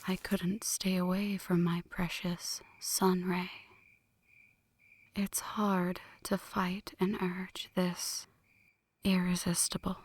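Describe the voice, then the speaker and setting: A woman playing a flirty, brooding female vampire in an ASMR roleplay, speaking in hushed, breathy whispers with a teasing, poetic delivery. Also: on the low side, little pitch movement, slow. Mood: teasing, seductive. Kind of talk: ASMR roleplay, scripted dramatic monologue.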